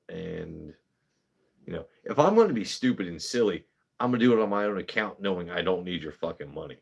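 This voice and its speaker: A man in his thirties hosting a casual livestream, talking bluntly with lots of swearing and rambling asides. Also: on the low side. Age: 30s. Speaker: whiskey channel host.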